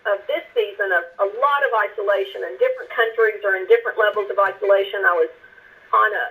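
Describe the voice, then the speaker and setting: Earnest halting woman sharing her testimony. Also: mid-range voice, fairly bright tone, rough recording. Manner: earnest, halting, with many restarts and repeated words. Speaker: guest minister. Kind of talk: personal testimony in a faith interview.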